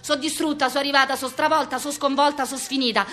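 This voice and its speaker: A woman's voice: high voice, fairly even intonation, quick pace, fairly bright tone. An actress playing a neurotic, overwhelmed Roman street woman, rattling off staccato lists in a theatrical monologue with a Roman accent. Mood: exasperated, complaining. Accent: Roman Italian. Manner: rambling, staccato lists of short phrases.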